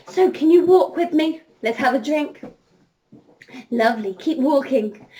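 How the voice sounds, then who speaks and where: A woman storyteller leading an interactive children's story, expressive and encouraging, speaking directly to her young listeners. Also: high voice. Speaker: children's storyteller, princess entertainer.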